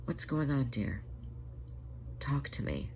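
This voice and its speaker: A narrator voicing a motherly female cat, gentle and reassuring, coaxing softly in an audio story. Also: medium pitch, sing-song, takes it slowly, husky, heavily acted. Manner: soft, soothing, coaxing.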